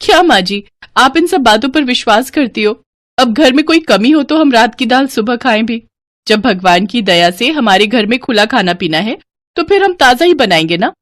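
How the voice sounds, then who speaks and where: A woman's voice: on the high side, lively intonation, normal speed, pitched above the performer's own voice, lightly acted. A woman voicing a spoiled, wasteful young wife who answers back dismissively. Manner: dismissive, raised voice.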